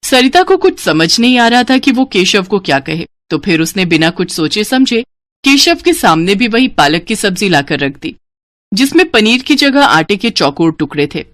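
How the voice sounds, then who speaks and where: A woman narrates a scripted story in a highly animated voice. Her pitch moves widely from phrase to phrase, shaping each sentence as a storyteller would. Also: moderate pace, no room sound, studio quality.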